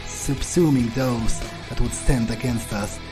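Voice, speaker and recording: A man's voice: mid-range voice, measured pace, close to an everyday voice. A man voicing an arrogant, power-hungry male vampire in a cold, self-assured manner, roleplaying over a video game. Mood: triumphant. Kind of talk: in-character roleplay over gameplay. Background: game music.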